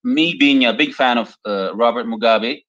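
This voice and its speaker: A man's voice: medium pitch, moderate pace, fairly bright tone, muffled recording. A man who does impressions, chatting on an online stream and telling stories. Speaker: guest impressionist. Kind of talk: casual online chat.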